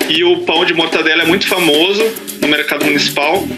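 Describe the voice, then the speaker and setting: A man in his early thirties speaks with a Brazilian accent, telling about himself. His voice is a little high, and music plays loudly behind him. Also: fairly even intonation, fast. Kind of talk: personal testimony to camera. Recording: loud music over the voice.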